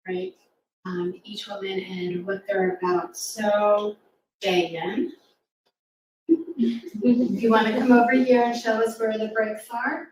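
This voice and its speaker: Woman doing kids storytime, slow rhyming read, encouraging, really echoey and far from the mic. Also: mid-range voice, flat delivery, a little mellow. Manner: encouraging, interactive with young listeners. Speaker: author reading to children. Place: live reading event in a roomy space. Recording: echoey room, distant mic, faint noise.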